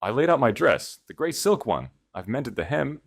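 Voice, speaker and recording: A man's voice: medium pitch, sing-song, moderate pace, vocal fry, pitched above the performer's own voice. A man voicing a proud, wounded wife and heiress in an audiobook, speaking with restraint and control. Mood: hurt, restrained. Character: wounded wife turned cold, commanding heiress.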